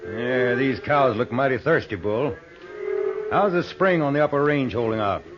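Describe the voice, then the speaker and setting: A man's voice: medium pitch, lively intonation, moderate pace, close to an everyday voice. A stubborn, feisty older cattleman acted by a man in a vintage radio western. His male voice sits mid-range on a dull, slightly noisy old recording. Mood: plain, concerned.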